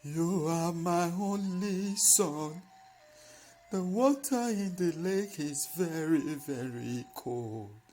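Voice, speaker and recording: A woman voicing an anxious widowed mother in a folktale, imploring her only son in a drawn-out, tearful way. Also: fairly low pitch, dark tone, faint music behind. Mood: worried, pleading. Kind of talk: folktale storytelling.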